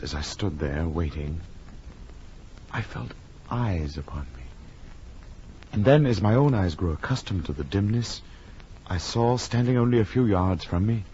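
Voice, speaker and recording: An actor playing an uneasy, well-bred gentleman in a gothic horror radio drama of the 1940s, formal and dramatic. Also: sits low. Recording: muffled, faintly noisy old radio recording.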